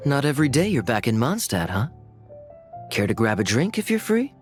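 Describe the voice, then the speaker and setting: A man's voice: medium pitch, big pitch swings, normal speed, music in the background, close to an everyday voice. A man voicing a wry, laid-back, teasing adult in a video game. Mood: friendly. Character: wry easygoing smooth-talking adult male drinker. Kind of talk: video game character acting.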